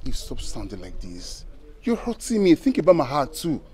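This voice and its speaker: An actor playing an anxious young boyfriend with a Nigerian accent, pleading and stumbling over repeated words in film melodrama style. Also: male voice, medium pitch, huge pitch swings, moderate pace, darkish tone. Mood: distressed pleading. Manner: pleading, stammering repetitions.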